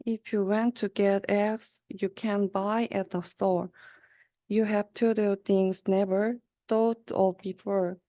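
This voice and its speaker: A young woman, a student, reading a rhyming children's story aloud haltingly, stumbling over words. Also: medium pitch, level tone, mellow, no room sound. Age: young adult. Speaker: student reader.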